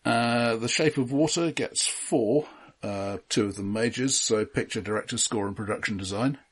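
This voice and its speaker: A man with a husky voice co-hosting a film discussion podcast, listing results and giving dry, understated opinions. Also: medium pitch, moderate pace. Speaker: podcast co-host. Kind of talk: film discussion, reading out awards and box office lists.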